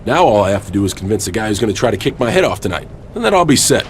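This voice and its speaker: Defiant wrestler hero in a game, blunt and earnest, voice moves around a lot, bit of noise behind. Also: male voice, on the low side, very animated, very fast. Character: defiant, principled male pro wrestler hero. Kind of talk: scripted video game cutscene acting.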